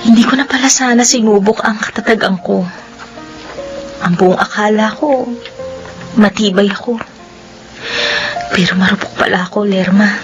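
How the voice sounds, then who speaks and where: A woman's voice: medium pitch, normal speed, long pauses, dull audio, natural voice. A woman acting an earnest, polite and plaintive young daughter in a melodramatic radio drama, with music underneath. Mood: wistful.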